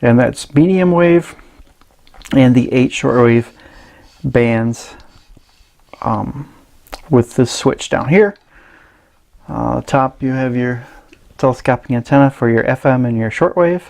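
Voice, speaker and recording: A man's voice: mid-range voice, gravelly, darkish tone. Hesitant man giving a casual product review. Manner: hesitant, halting, rambling delivery. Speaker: radio reviewer and presenter.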